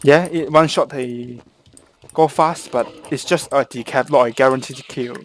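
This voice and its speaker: A man's voice: medium pitch, steady tone. A man from Hong Kong, a native Cantonese speaker with a Hong Kong English accent, narrating a game tutorial and often restarting and correcting himself. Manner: halting, with frequent restarts and self-corrections. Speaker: gameplay guide creator.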